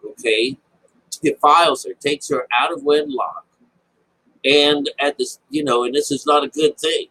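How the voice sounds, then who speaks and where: A man with a husky voice giving informal, unscripted Bible commentary, rambling, repeating phrases and checking in with his listeners. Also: a little high, fairly even intonation, unhurried, sharp tone, poor audio. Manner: rambling explanation with frequent check-ins like "all right?" and "okay?". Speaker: Bible teacher.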